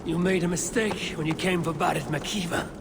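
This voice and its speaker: A man playing a steely, loyal Viking warrior in a video game, terse, commanding and measured in manner. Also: sits high, steady tone, creaky, noisy background. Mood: menacing.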